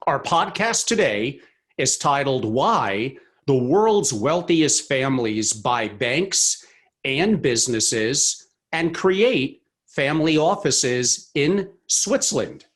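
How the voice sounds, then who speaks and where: A man hosting a podcast, with a voice of ordinary middle pitch. He speaks in a measured, formal way as he introduces a guest and asks questions. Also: sing-song, fairly bright tone. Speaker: podcast host. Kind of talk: scripted intro then interview questions.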